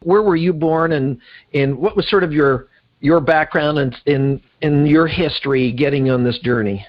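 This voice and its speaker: A man's voice: on the high side, normal speed, muffled recording. A man hosting a conversational interview show, putting questions to his guests. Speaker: podcast host.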